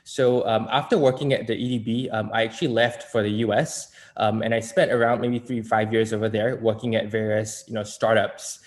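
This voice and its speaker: A man's voice: on the low side, level tone, brisk, few pauses. Man, Singaporean accent, panelist, hesitant, lots of fillers, conversational, video call.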